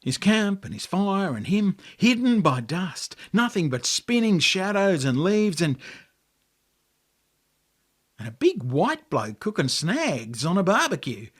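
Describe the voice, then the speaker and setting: An Australian man reading a story as dramatic narration, in measured, poetic phrases with deliberate pauses. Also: high-pitched, big pitch swings, breathy edge. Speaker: author reading own story.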